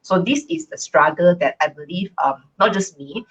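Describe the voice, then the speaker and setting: Husky, low-voiced woman from Malaysia, co-host, chatty and reflective. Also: normal speed, highs cut off. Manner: relaxed, reflective, frequent fillers. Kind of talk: casual live-stream chat.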